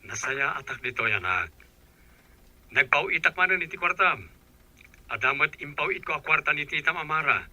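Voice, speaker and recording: Man playing a very bright-voiced caring father. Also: on the high side, lively intonation, normal speed, long pauses. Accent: Filipino. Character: caring father speaking to his daughter. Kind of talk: Filipino radio drama acting.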